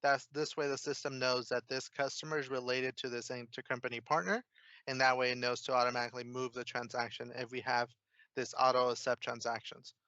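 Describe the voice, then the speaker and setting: A man presenting a casual software demo, explaining each step informally as he clicks through. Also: medium pitch, little pitch movement, a little fast, no room sound, lo-fi sound. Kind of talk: casual screen-share software walkthrough.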